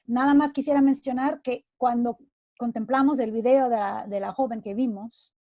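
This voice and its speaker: A woman's voice: a little high, steady tone, moderate pace, dark tone, no echo, dull audio. A woman academic in conversational discussion, switching between two languages and repeating words for emphasis. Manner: code-switches between Spanish and English, emphatic repetition. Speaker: academic panelist.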